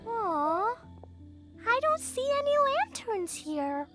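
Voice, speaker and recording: A woman's voice: very high-pitched, very animated, slow pace, over music, full character voice. A woman voicing an eager, cheerful little girl in a video game, with a childish delivery. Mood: disappointed.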